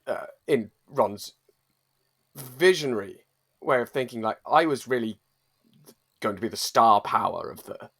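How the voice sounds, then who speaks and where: A man playing a vain, boastful celebrity with a husky voice, talking self-assuredly in a mockumentary interview. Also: medium pitch, expressive, a little slow, long pauses, a bit bright, heavily acted. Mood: smug.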